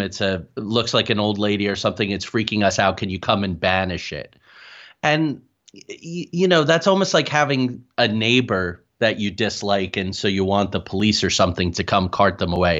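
A man's voice: fairly low pitch, a bit bright, lo-fi sound. A man being interviewed as a paranormal investigator, explaining at length in an earnest, detailed, conversational way.

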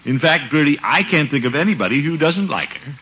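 A man's voice: fairly high pitch, animated, brisk, pushed and bright, a little hiss or hum. A man playing a fretful, pompous middle-aged uncle, with hesitant, theatrical delivery in a 1950s radio comedy. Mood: wounded. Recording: muffled vintage radio recording.

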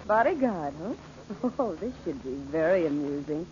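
A woman's voice: low voice, lively intonation, normal speed. An actress playing a rich man's mocking, sardonic young niece in 1940s radio drama style. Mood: amused, mocking. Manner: amused, sardonic. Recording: muffled, noisy old radio recording.

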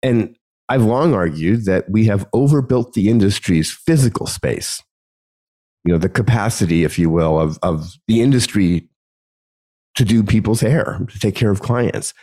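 Man, podcast host, gravelly from a cold, dark mellow tone, chatty, lots of ums and restarts. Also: lowish voice, normal speed. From United States. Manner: conversational, frequent ums and restarts. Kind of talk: solo podcast monologue.

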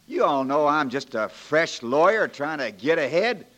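An actor in a 1940s radio drama playing a young frontier lawyer, folksy, plain-spoken and wry but firm. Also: male voice, fairly high pitch, very animated, a little slow, fairly bright tone. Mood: wry, challenging. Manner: folksy, plain-spoken, joking, homespun turns of phrase.

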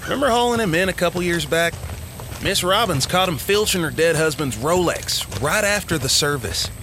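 A man's voice: a little high, expressive, moderate pace. A man acting a talkative, jittery small-town deputy in an audio drama, folksy, wisecracking and full of complaints. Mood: griping, teasing. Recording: clearly noisy outdoor scene ambience.

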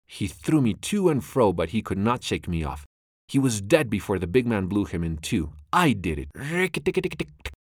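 Man playing a fierce little mongoose hero who crows and taunts loudly, raised above his usual pitch. A cocky storybook creature spoiling for a fight. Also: moderate pace, no echo, clean studio sound. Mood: gleeful taunting. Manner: excited, taunting, loud. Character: brave young male mongoose, fierce and cocky.

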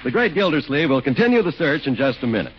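A man announcing in an emphatic, folksy 1940s radio sales style with a husky voice. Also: fairly high pitch, very animated, fast talker, noisy background. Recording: muffled 1940s radio recording.

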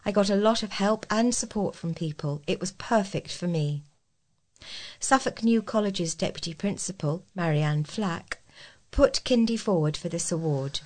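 A woman's voice: lowish voice, normal speed, a little mellow. A woman reading scripted local news stories aloud as a volunteer news reader.

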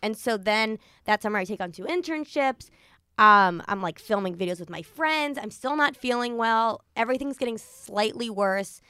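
A young American woman chats in a bright, slightly high voice. She rambles through her story in an animated, casual way, slipping into quoted dialogue. The sound is close and dry, recorded in a studio. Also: moderate pace, clear, resonant tone, dry recording. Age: young adult. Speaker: podcast host. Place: podcast studio.

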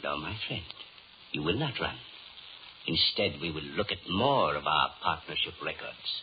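Suave male devil, low swooping voice, formal menace, muffled scratchy vintage radio drama. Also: expressive. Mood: silky menace. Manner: formal, deliberate, menacingly polite. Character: sinister, suave, all-knowing male devil calling in his due. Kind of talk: 1930s radio horror acting.

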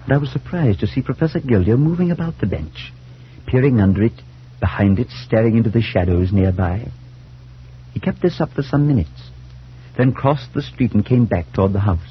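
An actor playing an earnest, compassionate priest, speaking in a measured way in a 1940s radio drama. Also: male voice, medium pitch, expressive, natural voice. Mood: quietly suspenseful. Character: earnest, compassionate male priest narrating his tale. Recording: noisy, dull 1940s radio recording.

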